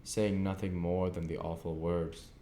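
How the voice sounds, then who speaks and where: A man narrating a classic novel as an audiobook reader, deliberately and in short phrases. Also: deep voice, little pitch movement, mellow. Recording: echoey room with faint background noise.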